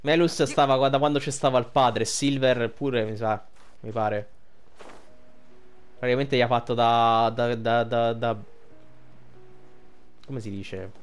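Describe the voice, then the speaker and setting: A man's voice: medium pitch, steady tone, unhurried, pauses often, clear, resonant tone, faint music behind. A young adult man giving casual, colloquial, emotionally invested game commentary, with some swearing. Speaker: game streamer.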